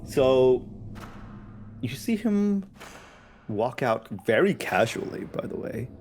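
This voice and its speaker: A man's voice: medium pitch, very animated, slow pace, background noise. A man improvising casual, vivid narration during a tabletop game.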